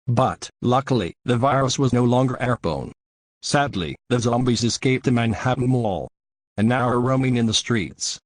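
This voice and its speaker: A man acting as a male news anchor with a mid-pitched voice in a very dry recording. He delivers a scripted crisis bulletin in a plain, measured, earnest way. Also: dry recording, close to an everyday voice. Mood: serious. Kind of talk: scripted fictional newscast.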